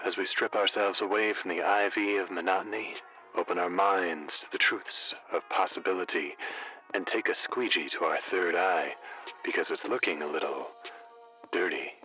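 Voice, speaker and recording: An actor playing a smooth, flirtatious late-night radio host with a florid, theatrical way of talking in an audio drama. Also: male voice, low voice, fairly even intonation, normal speed, bright, forceful tone, dull audio, faint music behind. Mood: suave, mysterious.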